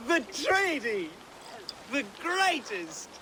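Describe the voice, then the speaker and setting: An actor playing a proud young lord in a video game, scornful yet eager, with an expressive, halting delivery. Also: male voice, very high-pitched, background noise, full character voice. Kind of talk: dramatic video game acting.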